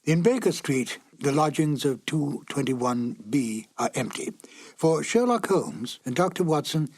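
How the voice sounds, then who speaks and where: A man's voice: fairly high pitch, expressive, unhurried, darkish tone, slightly rough. A man giving a scripted narration as the presenter of a classic radio drama.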